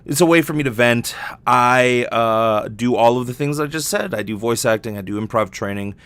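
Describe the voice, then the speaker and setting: A man's voice: medium pitch, moderate pace, a bit bright, clean tone. A young adult man talking casually and candidly as a solo podcast host, rambling and swearing freely.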